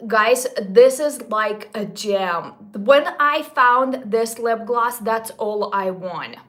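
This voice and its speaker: A woman in her late thirties talks her viewers through her makeup at an unhurried, drawn-out pace. Her voice sits fairly high, and she sounds chatty and gushing, stumbling and restarting now and then. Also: a bit bright. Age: 30s. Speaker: beauty vlogger. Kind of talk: casual makeup talk to viewers.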